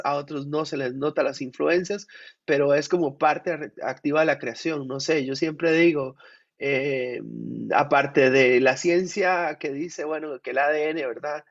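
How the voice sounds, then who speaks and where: A man's voice: a little high, measured pace. A man, sick with a cold, answering questions thoughtfully at an art conference, with frequent restarts. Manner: reflective, with many restarts. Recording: muffled, lo-fi audio.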